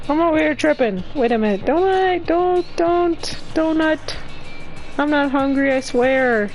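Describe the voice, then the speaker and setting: Slow-talking woman streamer, higher voice. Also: a little mellow, rough recording. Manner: chatty, talks to chat, hums to herself. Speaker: gaming streamer. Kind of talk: casual gaming commentary.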